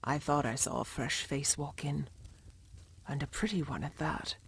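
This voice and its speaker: Fantasy game innkeeper's wife: heavily rasped, gravelly, sly and folksy. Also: female voice, sits low, fairly even intonation, normal speed, full character voice. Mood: sly, welcoming. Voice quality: very raspy, creaky. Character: sly, folksy madame of a tavern. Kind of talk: video game voice acting.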